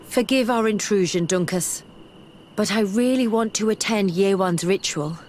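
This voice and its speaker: A woman's voice: mid-range voice, moderate pace, a little mellow, a little hiss or hum, natural voice. An actress playing an earnest, curious young female scholar in a video game, speaking politely and formally. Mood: earnest, respectful. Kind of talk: video game dialogue acting. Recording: music behind the voice.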